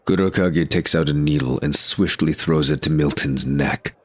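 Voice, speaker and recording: A man's voice: a bass voice, moderate pace, husky. A man giving scripted, present-tense narration as the narrator of an audio drama.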